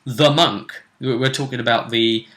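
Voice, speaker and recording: A man hosting a casual chat about a tabletop role-play game, telling it with emphatic, drawn-out phrasing. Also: mid-range voice, fairly even intonation, a bit bright. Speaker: host. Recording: roomy, echoey room sound.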